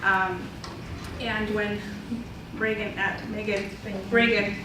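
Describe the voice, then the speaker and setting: A woman whose first language is Spanish, from Idaho, telling her story to an audience in a relaxed way with many ums. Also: mid-range voice, takes it slowly. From Idaho, USA. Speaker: panelist, ESL instructor. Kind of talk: personal talk to an audience. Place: college symposium hall. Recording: echoey hall, mic far off, noisy.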